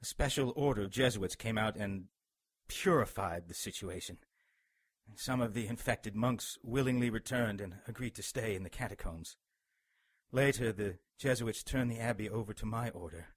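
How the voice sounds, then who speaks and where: A man's voice: fairly low pitch, moderate pace, close to an everyday voice. A man playing a dying, bitter, pious monk in a radio play, stern and fatalistic. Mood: grave, recounting. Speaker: radio drama actor. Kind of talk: dramatic radio play storytelling.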